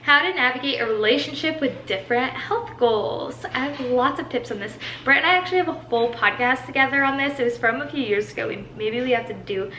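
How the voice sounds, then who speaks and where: A young woman in her twenties answers fitness questions in a bright, slightly high voice, chatty and upbeat, sprinkling "like" everywhere. Her first language is Portuguese. She sounds like she is in an echoey room with a faint background hum. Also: a little fast, few pauses. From Brazil. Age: 20s. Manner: chatty, filler-heavy with many "like"s, upbeat. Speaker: fitness coach. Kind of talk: casual Q&A monologue.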